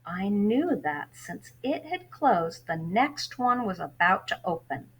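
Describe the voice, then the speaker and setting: A woman's voice: mid-range voice, big pitch swings, slow, a little hiss or hum, low-quality recording. A young orphan boy voiced by a woman reading a storybook tells his own story in plain, folksy words. He grumbles with childlike exasperation, in an everyday voice. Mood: resolute. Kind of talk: first-person children's novel read-aloud.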